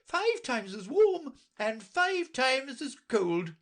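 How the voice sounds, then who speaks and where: A reader voicing a bossy, imperious queen in a storybook audiobook, with a shrill, commanding manner and drawn-out emphasis. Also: sing-song, a bit bright, full character voice. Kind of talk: audiobook character voice.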